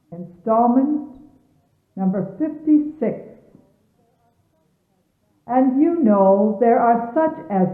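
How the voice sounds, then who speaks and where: A woman reading religious messages aloud in a solemn, deliberate, reverent and formal manner. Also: fairly high pitch. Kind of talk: solemn scripted reading of sermons. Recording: muffled, echoey 1970s phone-like tape.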